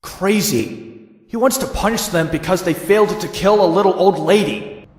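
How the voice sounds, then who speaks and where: An actor playing a cocky, sardonic young vigilante in a motion comic, with wry dialogue and first-person narration. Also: male voice, high-pitched, moderate pace, darkish tone, echoey room, big-room sound, close to an everyday voice. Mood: disapproving. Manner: wry, wisecracking, dry asides.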